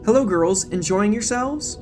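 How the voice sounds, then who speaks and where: A syrupy young sorority sister, condescending and full of false sympathy, performed by a man. The voice is raised and sing-song lively for audio drama, with music behind. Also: high-pitched, normal speed, voice pushed up. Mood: sugary, condescending. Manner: sugary, falsely sympathetic, prying. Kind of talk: audio drama character acting.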